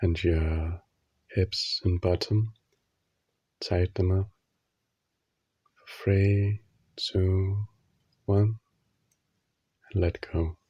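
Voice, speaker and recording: A man leading a guided sleep meditation in a soothing, instructional manner, leaving long pauses between short phrases. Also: low voice, steady tone, a little mellow, no echo, rough recording.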